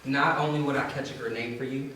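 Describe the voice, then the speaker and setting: A man's voice: medium pitch, steady tone, fast talker, sharp tone. A man reading aloud with dramatic and comic delivery as a performer at a wedding. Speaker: reader at a wedding reader's theater. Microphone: distant from speaker. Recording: echoey room, distant mic, faint noise.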